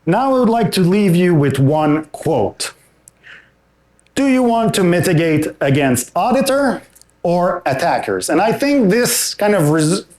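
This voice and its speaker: A man presenting a technical security talk at a conference and taking audience questions, relaxed and thinking aloud with frequent hesitations and restarts. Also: a little high. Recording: roomy hall sound.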